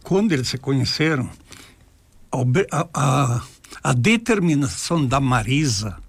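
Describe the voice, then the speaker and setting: A man's voice: medium pitch, very animated, unhurried, breathy edge, no echo. An older man, breaking into the chat to tell family stories. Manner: storytelling, interjecting. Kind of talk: casual chat.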